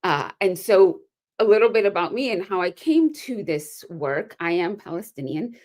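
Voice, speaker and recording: A low-pitched woman gives earnest, measured remarks as a panelist on a video call. She leans on rhetorical asides to keep her listeners with her. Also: lively intonation, a little mellow. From United States. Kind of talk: prepared remarks in an online panel.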